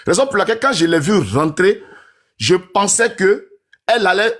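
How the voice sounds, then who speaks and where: A man's voice: high voice, lively intonation, normal speed, a bit bright. Insistent rambling man in his forties. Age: 40s. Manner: rambling and insistent, keeps asking if listeners understand. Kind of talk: casual spoken monologue.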